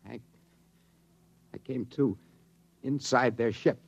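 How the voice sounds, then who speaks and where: An actor playing a shaken, earnest rocket test pilot in a 1950s radio drama, halting and insistent. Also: male voice, medium pitch, gravelly, a little hiss or hum. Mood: grimly insistent. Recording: dull, band-limited old radio recording.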